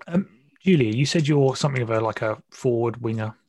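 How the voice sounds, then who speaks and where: A man's voice: mid-range voice, brisk, darkish tone. A man hosting a casual football discussion, chatty and self-deprecating. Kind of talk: casual conversational interview.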